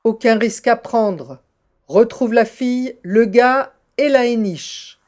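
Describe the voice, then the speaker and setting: A woman's voice: a little high, slow pace, a little mellow. A woman playing a cold, scheming scientist villain in an audio drama, speaking in a curt, imperious manner. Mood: alarmed, commanding. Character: cold, scheming female scientist in a kidnapping plot.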